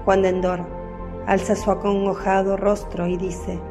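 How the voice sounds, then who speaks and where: A woman giving scripted narration for a radio drama in a solemn, measured manner. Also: medium pitch, flat delivery, over music.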